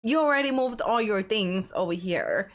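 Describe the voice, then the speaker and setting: A woman's voice: medium pitch, expressive, moderate pace. A woman hosting a reaction channel, recapping a reality show in a sassy, chatty way. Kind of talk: casual recap commentary.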